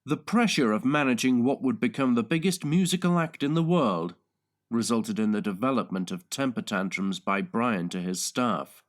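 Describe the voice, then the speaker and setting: A man narrating audiobooks in a measured, deliberate, scripted style. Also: medium pitch, animated. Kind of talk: scripted dramatic and factual narration.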